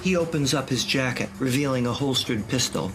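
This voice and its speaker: Gravelly male audio drama narrator. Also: mid-range voice, fairly quick, music in the background.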